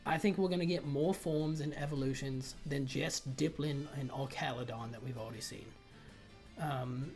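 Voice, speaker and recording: A man's voice: mid-range voice, a little mellow. The man you'd hear on a gaming channel's theory video, rambling casually through his guesses with a slight speech impediment while background tracks play along. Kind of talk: casual video commentary, speculating. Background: background music.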